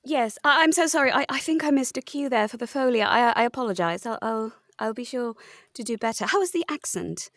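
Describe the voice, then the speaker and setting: Woman as prim, fussy luvvie, fairly high-voiced. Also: expressive, a bit bright, no echo, clean studio sound. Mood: apologetic, eager. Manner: prim, halting, formal. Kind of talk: improvised comedy drama.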